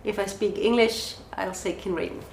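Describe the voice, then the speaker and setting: A woman's voice: mid-range voice, steady tone, moderate pace, slight background noise. Woman, Danish accent, thoughtful, lists questions, interview guest, echoey room. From Denmark. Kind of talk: podcast interview answers. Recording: roomy echo.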